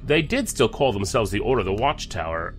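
Serious undercover agent, guarded, formal, measured, expressive pitch, music underneath, sci-fi radio play. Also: male voice. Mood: grave. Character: guarded, serious male federal agent back from deep cover. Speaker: actor in audio drama. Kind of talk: science fiction audio drama acting.

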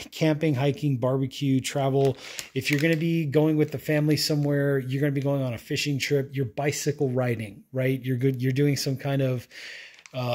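A man's voice: medium pitch, darkish tone. A man casually reviewing products at a table indoors, talking in a rambling, chatty way with many fillers and restarts.

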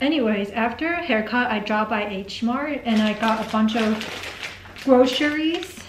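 A woman's voice: a little high, noisy background. A woman in her twenties chatting casually in a lifestyle vlog. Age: 20s. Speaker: vlogger. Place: indoors in apartment rooms. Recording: echoey room, music underneath.

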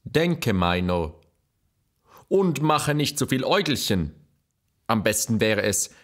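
A male actor playing a courtly, affectionate middle-aged poet reading letters and verse in a measured, formal way for a radio play. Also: mid-range voice, a bit bright. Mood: affectionate, teasing. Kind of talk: expressive literary letter and poem reading.

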